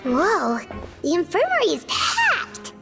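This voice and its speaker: A woman voicing a small, perky, chatty fairy companion with a squeaky childlike voice in a video game. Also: wildly swooping pitch, slow, gravelly, over music, exaggerated voice. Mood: perky, curious. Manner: perky, chirpy. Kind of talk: video game character voice acting.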